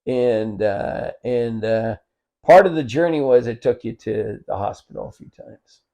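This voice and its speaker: A man hosts a relaxed chat in a dark, mellow voice. He keeps the talk moving and sums up what his guest says, with plenty of "uh" and "and" in between. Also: mid-range voice, a little slow. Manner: guides the talk, summarizing, with frequent fillers. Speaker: host. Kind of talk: casual conversation.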